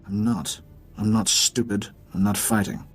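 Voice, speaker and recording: A pompous, wealthy game villain, full of imperious bluster and quick panic. He talks like someone used to giving orders to servants. Faint music plays behind him. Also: fairly low pitch, level tone, breathy edge. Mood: weakened, submissive. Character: pompous wealthy crime-linked businessman, imperious yet panicky. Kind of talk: video game voice acting.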